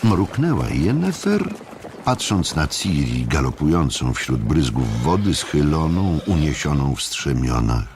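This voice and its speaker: A man narrating an audiobook drama as a literary storyteller, measured and deliberate, with dramatic pauses. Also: extremely low pitch, very animated, background noise.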